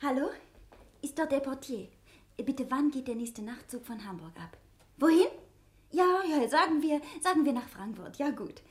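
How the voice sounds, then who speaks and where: An actress playing an emotional, stubborn young wife in a 1960s German radio crime play, firm and insistent. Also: female voice, sits high, animated, moderate pace. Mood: composed, determined.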